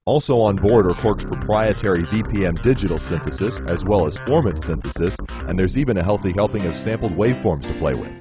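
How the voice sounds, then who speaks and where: Male product demo narrator, low register, polished and instructional scripted read, clear music bed underneath. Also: expressive, fairly quick, few pauses. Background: synthesizer music.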